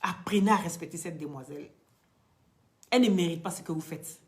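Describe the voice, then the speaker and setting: A woman's voice: lowish voice, a little fast. A woman with an Ivorian French accent, French not her first language, giving a blunt, emphatic monologue to camera. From Côte d'Ivoire. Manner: blunt, emphatic, street slang, rises to loud outbursts. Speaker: online commentator.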